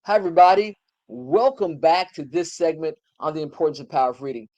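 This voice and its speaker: An older woman hosts an interview. She chats with lively enthusiasm and an easy, conversational flow. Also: sits low, brisk, poor audio. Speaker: host.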